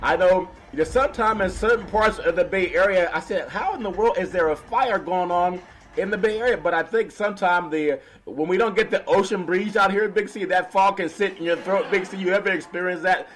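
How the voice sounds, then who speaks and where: A man with a raspy, half-lost voice hosting a casual sports talk show, laughing often and talking slowly. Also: high voice, a bit bright. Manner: slow and laughing, keeps calling his co-host's name. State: hoarse, partly lost voice. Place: West Coast radio studio.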